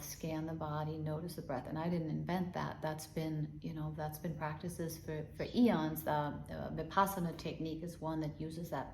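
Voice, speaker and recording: Gentle woman. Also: sits low, roomy sound, slight background noise. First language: Danish. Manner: gentle and unhurried, with soft repeated guiding phrases. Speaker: healing and meditation teacher. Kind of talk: guided body-awareness talk.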